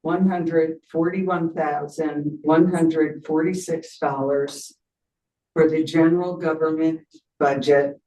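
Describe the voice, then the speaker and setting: A meeting chair with a medium-pitched voice and a slow, hesitant delivery. The speech is procedural, with halting readings of motions and figures, and is picked up from some distance. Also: fairly even intonation, mellow, reverberant. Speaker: chair of a town finance board meeting.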